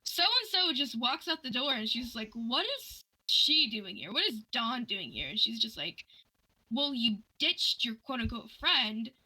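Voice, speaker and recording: Bright, high-voiced woman telling stories. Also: sing-song, unhurried, rough recording. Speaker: streamer telling personal stories. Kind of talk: casual storytelling chat on a livestream.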